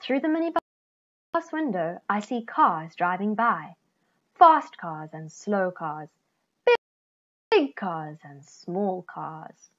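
A middle-aged woman reading a children's picture book aloud, expressively, with deliberate phrasing and stressed key words. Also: on the low side, big pitch swings. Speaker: children's story reader. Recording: slightly dull, lo-fi computer recording.

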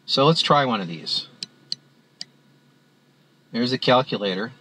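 A man walking through a spreadsheet on screen as an instructor, talking in a halting way with many fillers and restarts. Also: mid-range voice, animated, bright, forceful tone, slight background noise. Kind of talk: screen-recorded software walkthrough.

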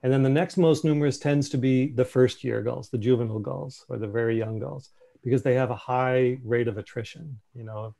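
A man's voice: mid-range voice, steady tone, fairly quick, vocal fry, dark tone. A man casually presenting a slideshow on a video call. He thinks aloud, with fillers and restarts. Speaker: meeting presenter.

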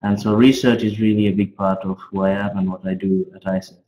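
A middle-aged man gives an informal academic presentation as a finance professor, speaking haltingly with frequent pauses. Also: fairly low pitch, flat delivery, dark tone. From Australia. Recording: roomy, echoey webcam audio.

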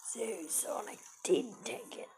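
A woman's voice: mid-range voice, lively intonation, slow pace, whispered. A woman giving casual reaction commentary, echoing lines she hears in amusement. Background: cartoon soundtrack with music.

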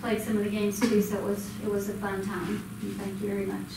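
A woman's voice: mid-range voice, monotone, a little fast. A middle-aged woman giving a casual, um-filled report to a school board. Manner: conversational, with many ums. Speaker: school department presenter. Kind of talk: informal report at a public meeting. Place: school board meeting room. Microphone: distant room mic, standing speaker. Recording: echoey room from far off, noisy.

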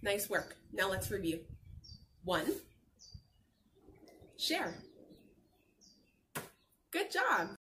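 Animated young woman reading aloud to children. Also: mid-range voice, drawn-out words, pauses often. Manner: expressive, encouraging, sing-song enunciation for young listeners. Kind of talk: children's picture book read-aloud with questions. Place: outdoors on a garden patio. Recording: noisy outdoor recording with birdsong.

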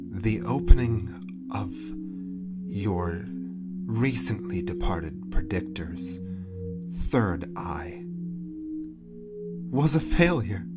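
A menacing otherworldly villain with a very low voice. The delivery is very slow and deliberate, taunting, slipping into whispers in an audio-drama monologue. Loud music rings throughout the recording. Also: male voice, exaggerated voice. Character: sinister, taunting living color Crimson, menacing harbinger of doom. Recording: loud music and ringing tones over the voice.

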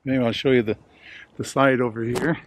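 A man's voice: mid-range voice, steady tone, fast. A man in his sixties talking casually and plainly to camera outdoors, with a self-deprecating manner, as an amateur filming himself. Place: outdoors in a suburban front yard. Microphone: camera mic, at varying distance. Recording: clear outdoor ambient noise.

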